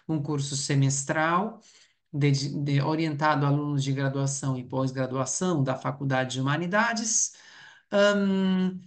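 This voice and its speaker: A man speaks as an academic researcher at a conference. His delivery is formal and explanatory. He hesitates often as he sets out each point. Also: on the high side. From Uruguay.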